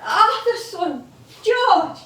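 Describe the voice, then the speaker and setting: Casting: actress as ageing British music hall star, fragile, theatrical, slow delivery, heard from afar in an echoey theatre. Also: female voice, very high-pitched, sing-song, sharp tone, heavily acted. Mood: wistful. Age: older. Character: ageing music hall comedienne, confused, haunted by voices. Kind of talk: theatrical stage acting. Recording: echoey theatre stage, distant mic.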